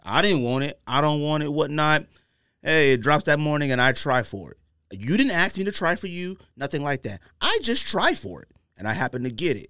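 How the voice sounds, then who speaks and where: A man's voice: a little high, big pitch swings, fairly quick, sharp tone, smooth, no echo, studio quality. A man hosting a casual podcast debate, talking in slang and repeating himself emphatically for effect. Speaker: podcast host. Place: podcast studio.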